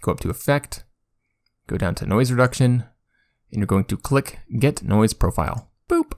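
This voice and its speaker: A man's voice: medium pitch, lively intonation, normal speed, a little mellow. Self-deprecating man giving a casual tutorial. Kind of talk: casual software screen-recording tutorial.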